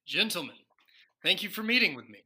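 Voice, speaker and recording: A stately but self-conscious president as an actor plays him in a radio comedy sketch. The voice is very bright and forceful, with formal, halting phrasing. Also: medium pitch, very animated.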